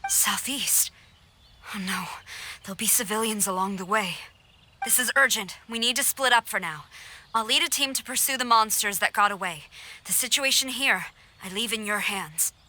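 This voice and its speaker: Forceful, formal female general. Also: medium pitch. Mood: urgent, commanding. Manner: formal, measured, authoritative. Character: stern, formal female army general, dutiful and composed. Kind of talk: video game voice acting.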